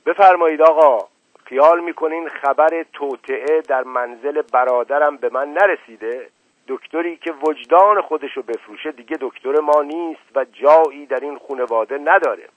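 A man voicing a quick-tempered, paranoid old man who shouts indignant orders. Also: on the high side, no echo, pitched above the performer's own voice, close to an everyday voice. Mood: furious. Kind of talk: audiobook character reading. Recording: tinny narrow-band, dry.

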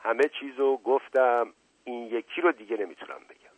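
Man as melodramatic gentleman suspect, tinny audio. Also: medium pitch, husky, natural voice. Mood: meek, reluctant. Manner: halting, sighing, melodramatic. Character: wily aristocratic gentleman suspect, mock-bashful and theatrically lovelorn. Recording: roomy lo-fi radio-like narrow band.

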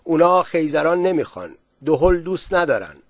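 A man's voice: fairly high pitch, very animated, no room sound, pitched above the performer's own voice. A man reading the lines of an eager, boastful village singer and drummer. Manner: boastful, insistent.

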